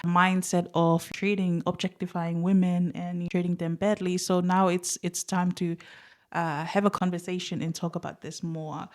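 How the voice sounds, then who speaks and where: A woman's voice: fairly low pitch, little pitch movement, moderate pace, darkish tone. A woman hosting an interview podcast, talking earnestly and in a rambling way. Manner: earnest, rambling, with many ums and uhs. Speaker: podcast host.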